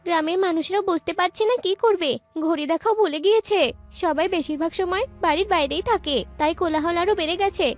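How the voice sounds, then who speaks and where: Cartoon village girl, played by a woman: very high, earnest, slightly whiny, over a music bed. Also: quick pace. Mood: weary, complaining. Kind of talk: animated folk-tale cartoon dubbing. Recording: music behind the voice.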